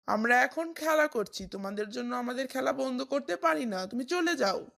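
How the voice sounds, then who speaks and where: Brief: woman playing a brash neighbor boy, slightly high, gravelly edge. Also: expressive, moderate pace, fairly bright tone, exaggerated voice. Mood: rude. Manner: curt, rude. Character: rude, dismissive neighbor boy.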